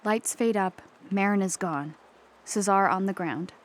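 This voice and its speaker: Woman narrator reading out stage directions, scripted, mid pitch. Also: measured pace.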